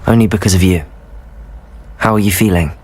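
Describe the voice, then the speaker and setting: A man's voice: deep voice, fairly quick, darkish tone. A man playing a polite, earnest teenage wizard student hero in a video game. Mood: concerned, sincere. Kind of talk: video game dramatic acting. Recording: clear music bed under dialogue.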